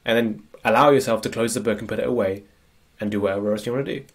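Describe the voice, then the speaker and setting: A man's voice: on the low side, steady tone, fast, slightly rough. A man with a cold, giving a casual, conversational productivity lecture while sharing slides, often checking in with "right?". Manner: conversational, frequent tag questions. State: sniffly with a cold. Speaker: productivity coach. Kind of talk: screen-share lecture.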